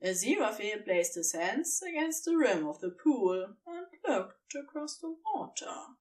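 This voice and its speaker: A woman narrating a fan fiction story in an expressive, scripted storytelling style. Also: medium pitch, a little slow, recorded from a distance.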